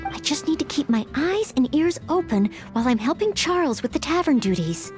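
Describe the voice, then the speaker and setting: A young maid knight from an anime-style game. The voice is very high and lifts and dips in a lively way, with courteous, formal, slightly hesitant phrasing. Earnest and eager to help. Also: female voice, brisk, soft background music. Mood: musing, earnest. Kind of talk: scripted anime-style video game acting.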